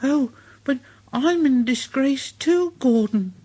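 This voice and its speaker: A squeaky, high-pitched little engine, cheeky but easily flustered. The voice wobbles widely and unhurriedly, all nervous little cries. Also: male voice, expressive, slow, a little mellow, breathy edge, full character voice. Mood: contrite. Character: small cheeky young male tank engine, anxious. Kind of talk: storybook reading.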